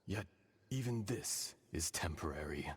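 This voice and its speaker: An actor playing an ancient, weary dragon spirit in a video game, speaking gravely and formally with philosophical solemnity. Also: male voice, low-pitched, expressive, heavily acted. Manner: grave, formal, measured speech. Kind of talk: dramatic video game acting.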